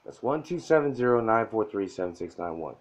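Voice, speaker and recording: A soft-spoken man giving a blunt, profane, pushy motivational talk straight to the camera as a self-help vlogger. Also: on the low side, very animated, brisk, highs cut off. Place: indoor room at a whiteboard. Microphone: phone camera mic.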